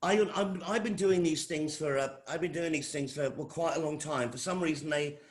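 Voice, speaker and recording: A man's voice: a little high, normal speed, a crowd in the background. A middle-aged man with an English accent giving an informal welcome talk as a college lecturer, often repeating phrases and restarting his sentences. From England.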